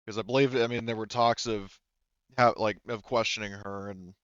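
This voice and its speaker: Man, game master, smooth voice, hesitant self-correcting narration, close dry sound. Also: on the low side, fairly even intonation, normal speed, fairly bright tone, no echo, dull audio. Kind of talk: narrating a tabletop roleplaying game.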